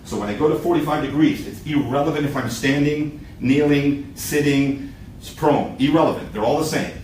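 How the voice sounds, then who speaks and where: A man's voice: on the high side, normal speed, darkish tone. Male instructor in a very echoey room. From Israel. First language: Hebrew. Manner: emphatic, repeats key points in short sentences. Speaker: military shooting instructor. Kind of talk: live lecture to a class. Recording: echoey room, distant mic, background noise.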